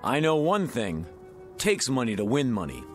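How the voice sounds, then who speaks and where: An actor playing a smooth-talking male card dealer in a radio drama, slick and needling. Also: mid-range voice, huge pitch swings, moderate pace, faint music behind. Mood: sly.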